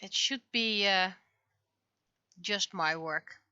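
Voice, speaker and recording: A woman's voice: mid-range voice, slow, pushed and bright, clear, resonant tone, highs cut off. A woman livestreamer chatting casually while she draws, often muttering to herself as she works. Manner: mutters to self while working. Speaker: livestreamer and webcomic artist.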